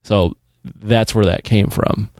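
A man in his thirties hosts a podcast in an easygoing, chatty way and laughs often. His voice sits on the low side, and the recording is dry, with no room echo. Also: steady tone, takes it slowly, darkish tone. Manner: laid-back, laughs often. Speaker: podcast host. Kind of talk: casual chat.

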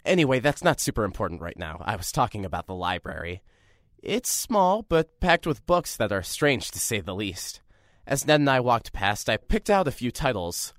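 A man's voice: medium pitch, no room sound, clean studio sound. An actor playing a nervous, rambling young man filling in as a radio host in an audio drama. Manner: rambling, self-deprecating, lively.

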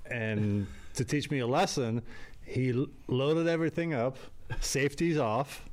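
A man's voice: mid-range voice, unhurried. A man from Belgium, a podcast guest, telling a rambling story and laughing at his own mishaps. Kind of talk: casual storytelling.

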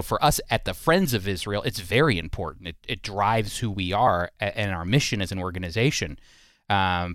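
Guy hosting an interview show, clean smooth voice, chatty, dry sound. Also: fairly low pitch, no echo. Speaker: program host, interviewer. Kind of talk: conversational interview.

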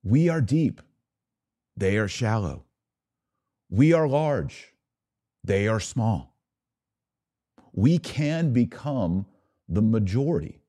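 Guy podcast host w a lowish dark mellow voice, emphatic political commentary, repeats stuff for stress. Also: very animated, slow pace, pauses often. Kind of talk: impassioned political commentary monologue.

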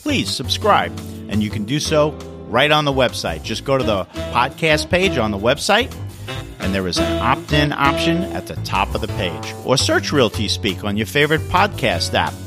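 A man's voice: mid-range voice, sing-song, moderate pace, music in the background. A man hosting a real estate podcast interview, talking in an upbeat, enthusiastic way. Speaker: podcast host.

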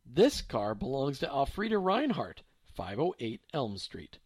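A man voicing a calm, sensible mother relaying a sad story. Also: medium pitch, measured pace, husky, no echo, exaggerated voice. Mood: plain. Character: sensible middle-aged mother, gentle.

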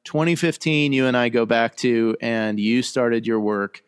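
A man hosting a podcast, talking at a mid pitch in a loose, joking way that often drifts into asides. His voice sounds close and dry. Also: unhurried, clear, resonant tone, no echo. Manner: chatty, joking, rambling asides. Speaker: podcast host and chaplain. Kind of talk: casual podcast chat.

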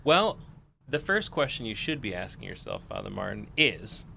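A man's voice: on the low side, sing-song, moderate pace, vocal fry, bright, forceful tone, slight background noise. A man co-hosting a podcast, joking and bantering in casual chat. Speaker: podcast co-host.

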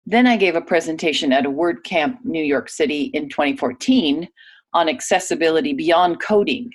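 Low-voiced woman reading interview answers. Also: normal speed, slightly rough. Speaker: voice actor reading a guest's typed answers. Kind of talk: read-aloud interview answers.

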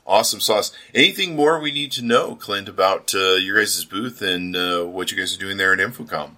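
Bright, forceful male podcast host. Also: sits low, moderate pace. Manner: slangy, gushing enthusiasm. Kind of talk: casual promotional interview chat.

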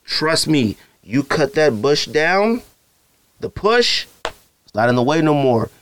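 A man's voice: a little high, slow pace, smooth, no room sound. A married man hosting a sneaker podcast, talking casually and jokingly straight to his listeners. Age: adult, married. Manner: animated, joking, direct address to listeners. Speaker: podcast host. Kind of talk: casual solo podcast chat with ad read.

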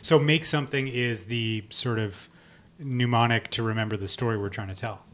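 A man's voice: mid-range voice, moderate pace, fairly bright tone. A man asking questions in a conversational interview.

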